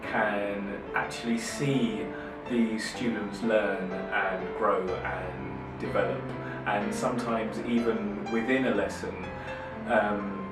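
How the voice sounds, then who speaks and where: A man who teaches English at a primary school, answering interview questions slowly and thoughtfully with frequent ums. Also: fairly low pitch. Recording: echoey room, distant mic, music bed. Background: music.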